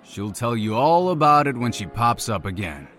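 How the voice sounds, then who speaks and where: Snarky, animated young guy. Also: medium pitch, moderate pace, faint music behind. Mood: sarcastic. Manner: sarcastic, teasing. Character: snarky, blunt young man, teasing best friend. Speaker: voice actor. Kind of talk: audio drama dialogue.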